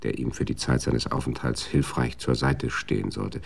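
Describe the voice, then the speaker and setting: Erzähler mit sehr tiefer, rauer Stimme. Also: male voice, rumbling low, little pitch movement, normal speed, gravelly, breathy edge. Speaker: narrator. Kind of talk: scripted radio drama narration.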